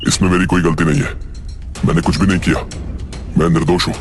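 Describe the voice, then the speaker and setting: A man's voice: low voice, level tone, fast, gravelly, very noisy. A man voicing a frightened young man who pleads anxiously, over background music. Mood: shaken.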